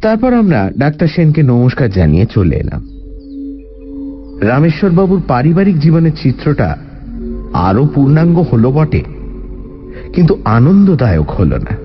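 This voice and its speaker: An actor playing a detective's companion who recounts the case as a radio drama's storyteller. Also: male voice, a little high, huge pitch swings, moderate pace, pauses often. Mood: reflective, sombre. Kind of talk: scripted dramatic narration. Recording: muffled radio audio with music bed.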